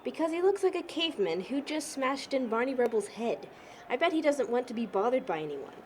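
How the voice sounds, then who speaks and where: Woman acting blunt teenage girl, highish voice, background noise. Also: big pitch swings, a little fast, darkish tone. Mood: sardonic. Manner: frank, wry, slangy. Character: blunt, sardonic teenage churchgoing girl, unbothered beer drinker. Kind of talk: amateur audio drama acting.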